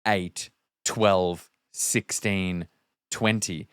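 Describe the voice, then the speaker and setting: A man with a fairly low voice chats casually as a podcast co-host. His way of talking is blunt and informal. The recording is dry and free of room sound. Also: fairly even intonation, extremely slow, smooth, no echo.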